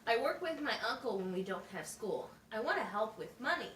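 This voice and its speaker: A woman's voice: a little high, big pitch swings, fairly quick, a bit bright, recorded from a distance. A woman plays an earnest young schoolgirl in a puppet show. She answers simply and a little hesitantly. The sound is roomy and set back from the mic, with faint noise. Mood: earnest, unsure. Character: schoolgirl puppet named Rose, earnest and brief.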